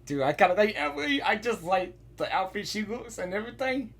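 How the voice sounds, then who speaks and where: A woman's voice: mid-range voice, big pitch swings, a little fast. A woman giving casual, informal live reaction commentary while playing a video game. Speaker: gaming reaction streamer.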